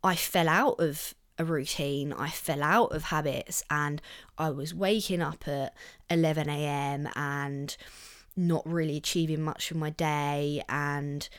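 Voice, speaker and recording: A young woman chats through her solo podcast with a slightly low, husky voice that is croaky from illness. Under the rasp her tone stays resonant and smooth, and her delivery is loose, upbeat and full of casual swearing. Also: fairly even intonation, measured pace. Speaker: podcast host.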